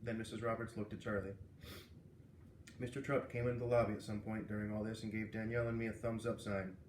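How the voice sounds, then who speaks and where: A man's voice: lowish voice, flat delivery, fast, slight background noise. Man reading aloud in an echoey room. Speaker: read-aloud narrator. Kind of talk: expressive children's book narration.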